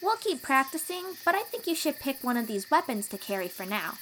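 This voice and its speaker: A young female warrior of the sea folk as a woman acts her out, with a fairly high voice. She speaks to her companion as a protective, teasing, encouraging teacher in an audio roleplay, with clear cricket and insect ambience behind her. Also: sing-song, brisk, a little hiss or hum, rough recording. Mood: encouraging, instructive. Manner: encouraging, instructive, gently teasing. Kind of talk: audio roleplay acting addressed to the listener.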